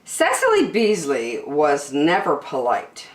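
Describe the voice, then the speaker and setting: Very animated woman reading a children's story. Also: low-pitched, roomy sound. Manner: expressive, drawn-out emphasis on key words. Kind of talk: animated picture book read-aloud.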